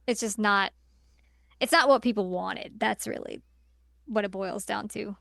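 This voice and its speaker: A woman's voice: mid-range voice, a little slow, gravelly, a bit bright, dry recording, clean studio sound. A woman co-hosting a podcast in a casual, conversational style. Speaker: podcast co-host. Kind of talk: casual chat.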